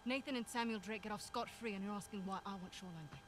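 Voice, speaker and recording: A stern and proud female mercenary boss from an adventure game, acted by a woman. Her delivery is dry, terse and matter-of-fact. Ambience and vehicle noise are clearly audible behind her. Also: medium pitch, fast talker, fairly bright tone, lightly acted. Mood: stern, bitter. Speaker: video game voice actor. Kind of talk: naturalistic game dialogue.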